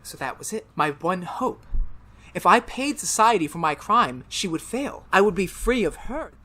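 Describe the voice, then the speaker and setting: A man playing a tormented, guilt-ridden murderer in melodramatic, feverish 1930s-style radio horror, swinging from hushed to shouting. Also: high voice, animated, normal speed. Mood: grim, broken. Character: tormented guilt-ridden male murderer hounded by phantom voices.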